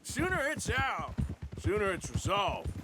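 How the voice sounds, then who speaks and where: An actor playing a wry, rugged cowboy outlaw in a video game, speaking with a dry, laconic American Western drawl. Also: male voice, high voice, wildly swooping pitch, unhurried, exaggerated voice. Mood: dry, teasing. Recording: outdoor noise with horse clatter.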